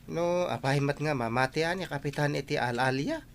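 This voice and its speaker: A man acting an excitable, doubtful villager in a comedic audio drama. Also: a little high, a little fast, close to an everyday voice.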